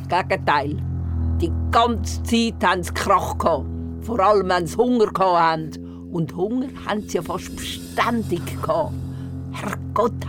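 An actress plays an older Swiss German grandmother in a radio play. She tells her tales in lively Glarus dialect, matter-of-fact and stubborn. Music runs behind her voice. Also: medium pitch, close to an everyday voice. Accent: Swiss German, Glarus area. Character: spirited storytelling grandmother of a young boy.

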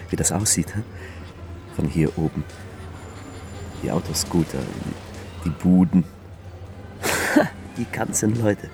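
Shy, low-voiced young detective, hesitant. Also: male voice, slow pace, long pauses, over music. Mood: nervous, flustered. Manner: hesitant, stammering, flustered. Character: shy, awkward, self-deprecating young male private detective. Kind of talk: naturalistic radio crime drama acting.

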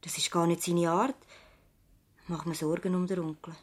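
An actress playing an earnest, thoughtful young practice assistant and loyal niece in a radio play, with a Swiss German accent. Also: female voice, fairly low pitch, steady tone, moderate pace, lightly acted. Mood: worried, hushed. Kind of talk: radio play acting, conversational dialogue.

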